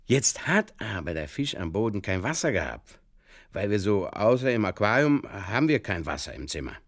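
Muddled Bavarian fishkeeper, comic radio monologue, swooping intonation, circuitous, earnest, close dry sound. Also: male voice, on the low side, sing-song, no echo. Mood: earnestly explaining. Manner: halting, circuitous, literal-minded, over-explaining. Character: rambling, earnestly muddled Bavarian fishkeeper telling absurd tales.

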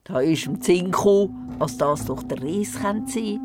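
A woman's voice: on the low side, expressive, unhurried, over music. A woman playing a spirited old Swiss village grandmother who tells tales in Glarus dialect, emphatic and teasing, in an audio play. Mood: vivid, excited. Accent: Swiss German, Glarus. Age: older. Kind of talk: animated dialect storytelling in a radio play.

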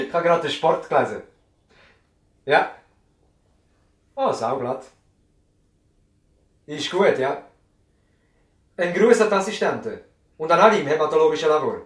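An actor with a Swiss German accent playing a busy, courteous, harried country doctor in a seventies radio play. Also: male voice, on the high side. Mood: breezy. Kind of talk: 1970s radio play acting. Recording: roomy studio radio play sound.

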